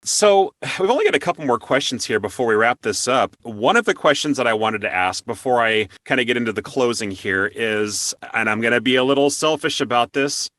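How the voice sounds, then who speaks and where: A man's voice: mid-range voice, expressive, brisk, few pauses, a bit bright, no echo, studio quality. A man hosting a casual interview, chatting in an effusive, enthusiastic way. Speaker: interview host.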